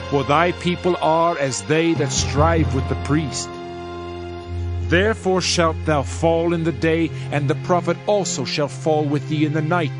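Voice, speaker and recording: A man playing a solemn Old Testament prophet, reciting scripture in a measured, declamatory way. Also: on the high side, natural voice. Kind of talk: dramatized King James scripture narration. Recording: music under the voice. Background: music.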